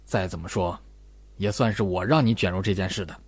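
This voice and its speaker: A man voicing a cold, terse, scheming policeman in an audiobook, speaking low and matter-of-fact. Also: a little fast, slightly rough, no echo. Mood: apologetic, quiet.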